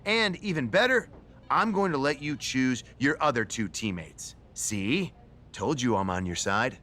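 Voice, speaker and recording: A man's voice: mid-range voice, expressive, a little slow, sharp tone. A man playing a glib, self-assured wrestling manager in a video game cutscene, persuasive and emphatic. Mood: smug, upbeat. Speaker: voice actor playing a wrestling show authority figure.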